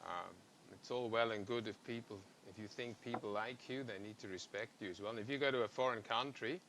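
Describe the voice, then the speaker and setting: A man's voice: on the low side, fairly quick, noisy background. A man who grew up in Africa and speaks, hosting a podcast in a chatty style and jumping between thoughts. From South Africa. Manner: chatty, jumps between thoughts, laughs easily. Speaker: podcast host. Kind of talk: casual podcast chat.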